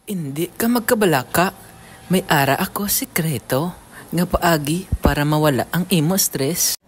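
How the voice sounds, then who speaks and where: Woman acting a low-voiced sleazy male boss. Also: moderate pace, slightly rough. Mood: smooth, insinuating. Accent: Filipino. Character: sleazy, patronizing male office boss Sir Ben. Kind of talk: amateur radio drama acting.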